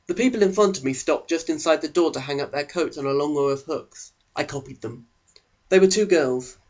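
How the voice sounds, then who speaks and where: A man's voice: mid-range voice, lo-fi sound. A man narrating a mock audiobook with a sarcastic, exaggerated delivery.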